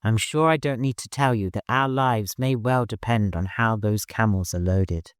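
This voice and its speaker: A man voicing a hurried, no-nonsense master organizing a caravan in an audiobook, courteous but commanding. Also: fairly low pitch, clear, resonant tone, dry recording, studio quality, lightly acted. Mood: grave, commanding.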